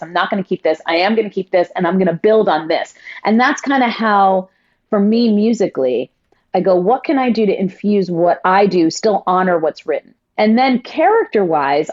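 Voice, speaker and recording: A stage actress telling stories in a casual interview, effusive and quick with quoted asides. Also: female voice, mid-range voice, darkish tone, muffled recording.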